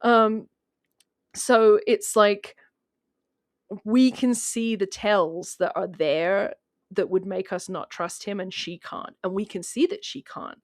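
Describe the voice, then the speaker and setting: A woman talking casually as a guest in a film discussion, telling it in an animated way and acting out imagined remarks. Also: mid-range voice, takes it slowly, no echo, studio quality.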